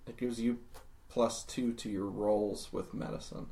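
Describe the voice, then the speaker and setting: A man running a tabletop game, chatting casually with joking asides and hesitant pauses, and narrating scenes. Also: lowish voice, fairly even intonation, gravelly, crowd noise. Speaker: game master. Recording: faint background noise.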